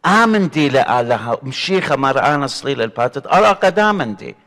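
A middle-aged man with an Assyrian accent, a deacon teaching scripture in an earnest, expressive way from a desk in an indoor studio. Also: medium pitch, fast, big-room sound. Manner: earnest, gesturing, expressive preaching. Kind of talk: bible study teaching. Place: indoor studio before a painted church backdrop.

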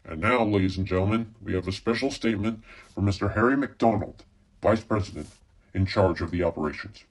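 Man acting as low-voiced, formal radio news announcer. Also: breathy edge. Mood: grave, composed. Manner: formal, measured. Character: earnest male radio news announcer breaking grave bulletins. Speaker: amateur radio play performer.

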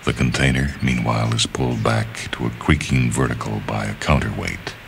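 A man reading a literary story as scripted narration, in a measured, deliberate manner, phrase by phrase. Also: a bass voice, steady tone, vocal fry, noisy background. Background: music.

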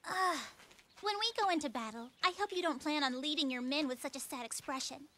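An actress playing a devoted, spirited young warrior princess in a video game, speaking earnestly and tenderly. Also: female voice, high-pitched, brisk, pushed and bright. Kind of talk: English-dubbed video game cutscene acting.

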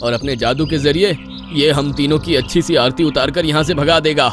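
Scared whiny cartoon lion, voiced by a man, fairly high voice. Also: fairly even intonation, moderate pace, over music, close to an everyday voice. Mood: fearful. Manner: whining, fearful. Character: beaten, frightened male lion brother. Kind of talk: cartoon dubbing.

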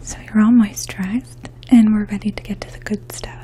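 A woman in her twenties speaking in a soft, hushed, breathy voice, gently explaining things as a makeup artist in an ASMR role play. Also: medium pitch, moderate pace. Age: 20s. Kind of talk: ASMR role play, addressing the listener as a client. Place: small indoor room. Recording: faint steady outdoor hum. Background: distant lawn mower.

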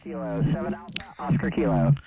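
A man's voice: fairly quick, crowd noise. A man calling out as an amateur radio operator over a distorted radio transmission. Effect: ham radio transmission. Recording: muffled, very noisy distorted radio signal.

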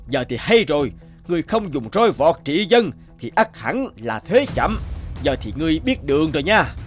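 A scornful, corrupt senior mandarin in an animated folktale. He talks pompously and mockingly, with music in the background. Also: fairly high pitch, moderate pace. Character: corrupt, scornful older male superior mandarin.

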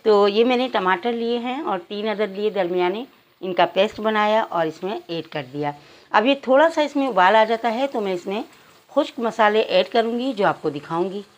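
A woman's voice: mid-range voice, lively intonation, normal speed. A woman narrating cooking instructions step by step, addressing viewers directly as a home cook. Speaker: home cook presenting a recipe.

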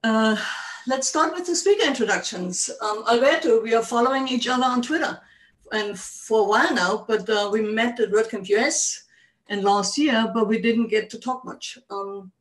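A woman's voice: a little high, moderate pace, distant mic, low-quality recording. An older woman hosting a casual video call interview from her home study, speaking hesitantly with many ums and easy laughs. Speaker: host.

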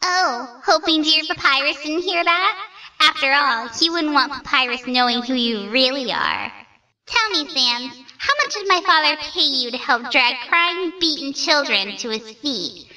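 A woman's voice: high-pitched, animated, a little slow, bright, forceful tone, far from the mic, full character voice. A woman voicing a bitter, hot-tempered child villain in a comic dub, sneering and theatrical. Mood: mocking, accusing. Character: bitter, mocking, hot-tempered fallen child with dark power. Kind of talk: comic dub character acting.

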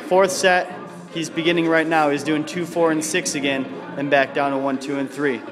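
A male personal trainer with a slightly high voice, cheering on his client and counting reps aloud. His voice rings with long reverb off the hard walls of a large gym. Music plays clearly behind him. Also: level tone, moderate pace. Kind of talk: coaching and commentary. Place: gym studio, indoors. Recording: camera audio in a large echoing gym with music.

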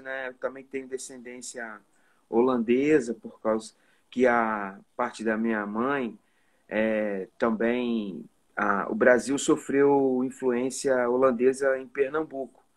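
A man in his forties with a Carioca accent from Rio de Janeiro, a musician guest chatting casually about his life, often tagging his sentences with "né?". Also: mid-range voice. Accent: Carioca Portuguese. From Rio de Janeiro, Brazil. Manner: relaxed storytelling with many "né?" tags. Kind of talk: casual live video chat. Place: indoor room at home. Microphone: phone or webcam on a video call.

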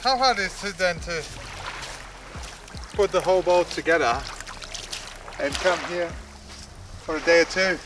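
High-voiced man speaking slow outdoors. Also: noisy background. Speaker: guest sailor. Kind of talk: casual chat. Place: outdoors on a lake shore.

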